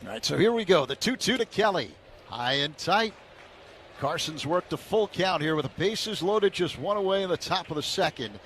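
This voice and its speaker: Male radio play-by-play announcer, somewhat high voice, measured delivery that builds on big plays, light background noise. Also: animated, fairly bright tone.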